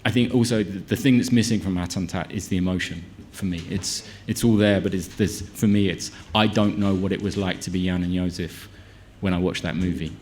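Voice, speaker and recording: A man's voice: low voice, steady tone, a little fast, hardly any pauses, darkish tone, slight background noise. A film director answering at a press conference, with many restarts and fillers as he gathers his thoughts.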